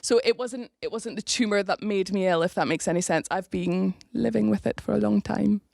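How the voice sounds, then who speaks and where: A woman's voice: medium pitch, a little fast, gravelly, darkish tone, no room sound, studio quality. A young woman from Scotland, a rugby player, chatting casually as a podcast guest, laughing often and restarting her sentences.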